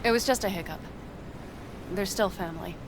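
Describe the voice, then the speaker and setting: An actress playing a hot-tempered young nomad mercenary in a video game, blunt, sarcastic and quick to swear. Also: female voice, mid-range voice, background noise, close to an everyday voice. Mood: wry, guarded. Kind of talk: cinematic video game voice acting.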